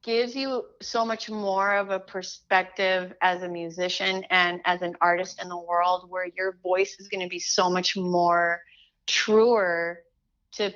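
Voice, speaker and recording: A middle-aged woman from Chile talking as an interview guest, animated, with many restarts and fillers. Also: fairly low pitch, unhurried, smooth, highs cut off. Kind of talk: casual interview chat.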